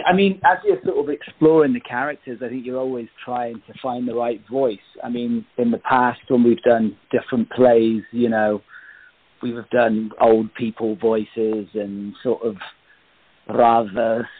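A man chatting casually as an actor in an interview, explaining things in a reflective, measured way. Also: mid-range voice, steady tone, slightly rough. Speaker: radio theater actor being interviewed. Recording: dry, tinny phone-like line.

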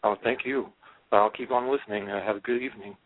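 A man calling in to a show by telephone, chatting casually and reflectively in halting phrases. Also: fairly low pitch, steady tone. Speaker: caller. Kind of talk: casual, reflective phone chat. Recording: muffled narrow telephone line.

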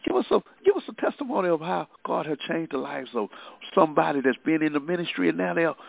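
A man's voice: fairly high pitch, lively intonation, fast talker, creaky. A male podcast host talking with the guest in a fervent, preacherly way, affirming her and drawing lessons from faith. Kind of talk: preacher-style interview talk. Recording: thin phone-like line.

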